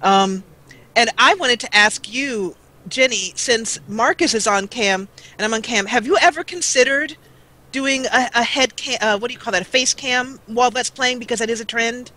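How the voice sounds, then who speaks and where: A woman's voice: on the high side, normal speed, bright, forceful tone, low-quality recording. A woman chatting casually as a guest on a gaming livestream. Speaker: guest, gaming video creator. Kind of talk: casual chat. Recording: clear background noise and ambience.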